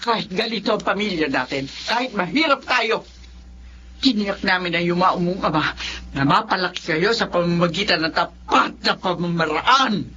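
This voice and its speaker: A woman's voice: lowish voice, animated, moderate pace, pushed and bright, slight background noise. An actress with a Filipino accent playing a proud, long-suffering middle-aged mother in an emotional radio drama, reproachful and emphatic. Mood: indignant, hurt. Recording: muffled radio drama, faint music.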